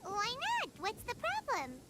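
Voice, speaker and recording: A woman voicing a tiny, excitable, naive fairy companion in a video game, squeaky and childlike, with chirpy exclamations. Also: measured pace, very noisy, heavily acted. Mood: puzzled.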